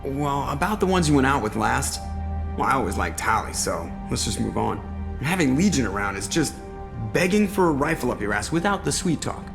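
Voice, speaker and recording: An actor voicing a wisecracking starship pilot who delivers dry, sarcastic quips. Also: male voice, mid-range voice, big pitch swings, a little fast, vocal fry. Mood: sardonic. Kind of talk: video game voice acting. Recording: game audio with music behind.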